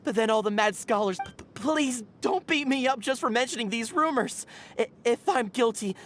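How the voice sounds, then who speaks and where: A woman's voice: a little high, lively intonation, normal speed, a little hiss or hum, lightly acted. A woman voicing a frightened, deferential desert townsperson in a video game, nervous and stammering. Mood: scared, pleading.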